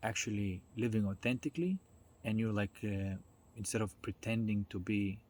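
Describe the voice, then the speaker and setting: A man with a fairly low, noticeably husky voice talks through ideas in an informal monologue. He explains things step by step in a halting way, often searching for the next word. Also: darkish tone. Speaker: speaker on self-development.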